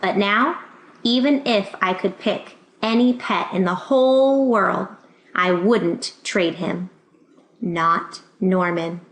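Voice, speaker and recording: A woman reading a children's picture book aloud in an expressive way, acting out the dialogue. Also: mid-range voice, slow. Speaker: storybook reader. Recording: roomy, echoing sound.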